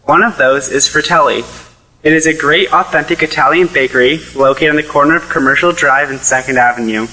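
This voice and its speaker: A man's voice: fairly high pitch, a little fast, bright, forceful tone, rough recording. A young man playing an upbeat, sociable teenage boy in an audio play. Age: teens to young adult. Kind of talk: youth audio play acting.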